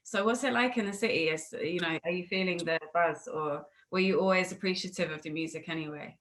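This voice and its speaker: A woman's voice: lowish voice, a little fast, a bit bright, low-quality recording. A woman chatting casually as a music interviewer on a video call, asking rambling, chatty questions peppered with filler phrases. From United Kingdom.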